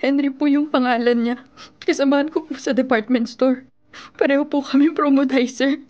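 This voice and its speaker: Woman as a high-voiced, timid younger sister. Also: steady tone, normal speed, darkish tone, lo-fi sound, close to an everyday voice. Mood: pleading, guilty. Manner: hesitant, pleading. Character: timid, apologetic younger sister, a secretly pregnant shop worker. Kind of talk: radio drama acting.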